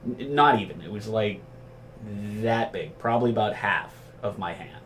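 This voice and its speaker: Rambling, profane man over clear background noise. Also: lowish voice, expressive, moderate pace, a bit bright. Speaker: storyteller. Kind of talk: casual anecdote telling among friends.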